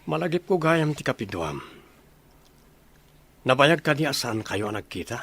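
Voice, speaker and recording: A man plays a chatty soldier with a medium-pitched voice. He sounds a little uneasy, with an Ilocano accent, in radio drama style. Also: sing-song, unhurried, creaky, fairly bright tone, breathy edge, exaggerated voice.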